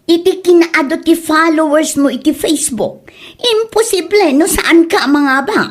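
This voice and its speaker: A woman's voice: very high voice, measured pace. A woman with an Ilocano accent playing a gossipy, blunt village woman in a comedy drama. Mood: scornful nagging. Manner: exclaiming, nagging, blunt.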